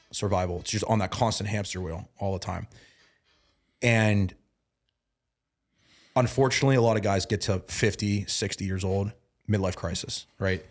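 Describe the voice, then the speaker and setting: A man in his thirties talking as a guest on a casual podcast. He sounds earnest, repeats himself and restarts a lot, and grows emphatic and louder when he starts preaching. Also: low-pitched. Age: 30s. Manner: repeats words and restarts often. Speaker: guest, bodybuilding and mindset coach.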